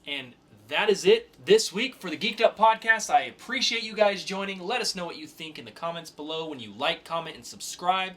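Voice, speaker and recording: Animated, bright-voiced man co-hosting a podcast. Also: high-pitched, fairly quick, few pauses. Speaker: podcast co-host. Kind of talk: casual banter with game-show flair.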